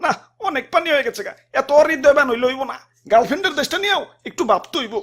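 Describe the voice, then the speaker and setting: Cartoon villain: boastful, selfish dam keeper, mock-generous, high quick voice. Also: male voice, animated, noisy background. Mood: flustered, scheming. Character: gloating, selfish male dam keeper hoarding river water. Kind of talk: comic cartoon acting.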